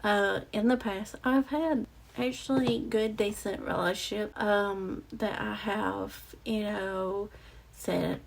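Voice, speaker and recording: A young woman with a raspy voice telling a personal story to her viewers, halting and reflective, with many fillers and restarts. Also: medium pitch, fairly even intonation, a little hiss or hum, low-quality recording. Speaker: vlogger. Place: outdoors or near a street with passing cars. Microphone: close mic.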